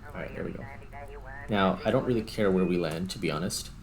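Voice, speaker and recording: A man giving casual gameplay commentary, thinking aloud in short, halting remarks. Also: low-pitched. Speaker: gaming host.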